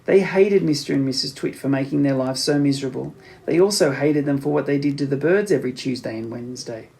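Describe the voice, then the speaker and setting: A man reading a children's story aloud as an expressive scripted narration. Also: medium pitch, fast talker, creaky. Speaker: audiobook narrator.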